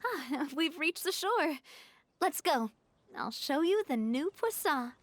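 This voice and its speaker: A woman's voice: sits high, sing-song, slow pace, no room sound, heavily acted. A woman voicing an earnest, upbeat young woman who leads an organization in a video game, talking in a reflective, friendly way. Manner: earnest and reflective, turning playful and self-deprecating. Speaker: president of a organization.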